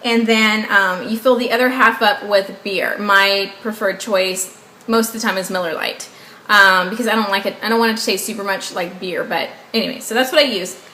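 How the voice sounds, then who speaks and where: A woman's voice: medium pitch, steady tone, moderate pace, pushed and bright. A woman in her twenties from the Southern US, with a Southern accent and English as her second language, chatting casually in a rambling, upbeat way to viewers. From Southern United States. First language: not English. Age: 20s. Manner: rambling, upbeat, often asks viewers to guess. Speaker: beauty vlogger. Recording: echoey room with faint background noise.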